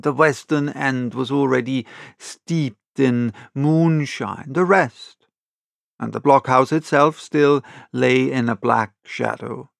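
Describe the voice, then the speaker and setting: A man narrates a classic audiobook at a slow, deliberate pace. His mid-pitched voice has some creak in it, and he tells the story in a measured, dramatic way. The sound is close and dry. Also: a bit bright, dry recording. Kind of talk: scripted literary narration in the first person.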